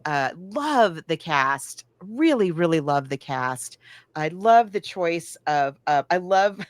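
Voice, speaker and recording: Female podcast co-host, lowish voice, dry close sound, gushing and enthusiastic in casual chat. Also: lively intonation, takes it slowly, no echo. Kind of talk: casual film and book discussion.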